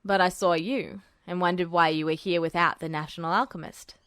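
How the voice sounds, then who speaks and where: Wry, kindly cop for a story reading woman performing as a man: low, gruff, conspiratorial, dry sound. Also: steady tone, brisk, a bit bright, no echo, lightly acted. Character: gruff, wry, kindly male police officer and father. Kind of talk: fan fiction audiobook character voice.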